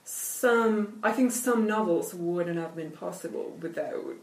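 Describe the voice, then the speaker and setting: Low-voiced Italian woman, thoughtful and hesitant. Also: slow pace, distant mic. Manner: thoughtful, hesitant with many fillers and restarts. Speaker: literature academic. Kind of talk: conversational interview. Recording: echoey room, off-mic.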